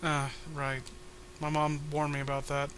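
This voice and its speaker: A man riffs casually through a comedic reading. His voice is bright and forceful, his manner sardonic and sweary, with faint noise behind him. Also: fairly high pitch, monotone, a little slow. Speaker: co-host of a comic reading. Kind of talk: casual riffing and character reading.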